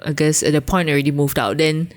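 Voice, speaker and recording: Young Singaporean female co-host, very low pitch, playful teasing interjections. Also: steady tone, fast talker. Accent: Singaporean English. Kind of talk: casual chat.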